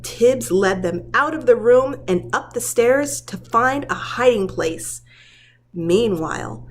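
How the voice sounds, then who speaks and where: A woman who works as a preschool teacher, reading a children's story aloud in a dramatic, deliberate storytelling manner. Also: medium pitch, a little hiss or hum.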